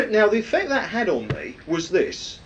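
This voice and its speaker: Loud preacher with lively pitch. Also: male voice, a little slow, lo-fi sound, noisy background. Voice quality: loud, projecting voice. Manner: rambling, with many fillers. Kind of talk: anecdotal sermon testimony.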